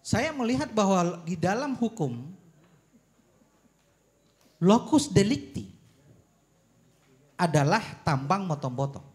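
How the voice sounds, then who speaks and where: Emphatic man with a high, lively voice. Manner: emphatic, deliberate phrasing with rhetorical questions. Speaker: panelist at a public forum. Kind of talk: argumentative forum speech on policy.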